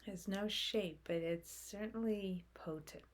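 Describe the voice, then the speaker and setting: A woman leading a guided meditation in a soft, breathy voice, speaking gently in short phrases with long pauses. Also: medium pitch. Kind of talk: guided meditation, slow unfolding instruction.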